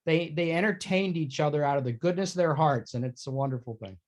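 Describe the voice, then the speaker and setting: A man's voice: on the high side, fast talker. A man talking conversationally and offering his opinions as a participant in a casual theatre discussion.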